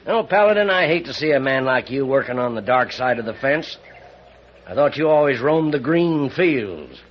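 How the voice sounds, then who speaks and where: An actor playing a bitter, greedy older rancher with an American accent and a folksy, needling drawl in a radio western. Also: male voice, mid-range voice, expressive, normal speed, lightly acted. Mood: bitter, vengeful. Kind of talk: old-time radio western acting. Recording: muffled, noisy old radio recording.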